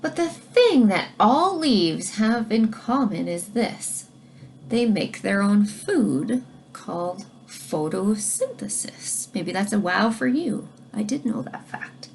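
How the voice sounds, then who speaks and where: A woman reading a children's science book aloud like a teacher, expressively, pausing to explain things directly to young listeners. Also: medium pitch, expressive, darkish tone, a little hiss or hum. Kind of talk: read-aloud storytelling with explanatory asides to children.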